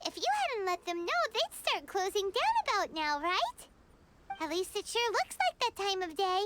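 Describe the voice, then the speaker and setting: A woman voicing a tiny, excitable, childlike female companion in a video game who talks about herself in the third person. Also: very high-pitched, normal speed, very noisy. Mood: cheeky. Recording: loud game music behind.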